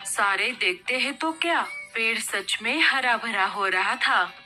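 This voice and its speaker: A woman's voice: fairly high pitch, takes it slowly, bright, forceful tone, faint music behind. A woman reading scripted narration as the storyteller of a children's tale.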